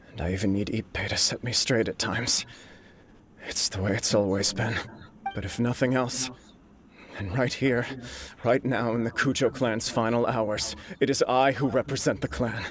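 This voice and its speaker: A man's voice: fairly low pitch, natural voice. An actor playing an earnest young nobleman in a video game, solemn and formal, burdened with remorse. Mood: solemn, determined. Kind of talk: dramatic video game acting.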